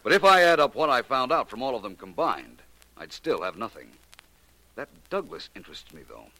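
An American actor playing a shrewd amateur detective in a 1940s radio mystery, articulate, coolly logical and slightly superior. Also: male voice, medium pitch, sing-song, brisk, sharp tone, dry recording, exaggerated voice, low-quality recording. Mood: measured, musing. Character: shrewd, unhurried male amateur detective solving a murder.

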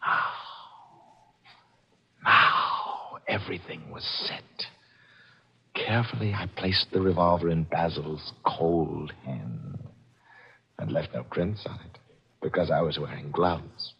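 A man's voice: a bass voice, takes it slowly, vocal fry, long pauses, breathy edge, full character voice. An actor playing a cunning, cold-blooded man who narrates his own murder scheme in tense, dramatic 1940s radio style. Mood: hushed, furtive. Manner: tense dramatic swings from hushed to frantic. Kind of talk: 1940s radio mystery acting. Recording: muffled old radio broadcast, music behind.

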